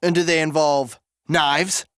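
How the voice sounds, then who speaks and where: A sly, dangerous fantasy game woman. She is teasingly menacing in a fairly low voice, close and dry. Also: fairly even intonation, a little slow, no room sound. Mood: teasing menace.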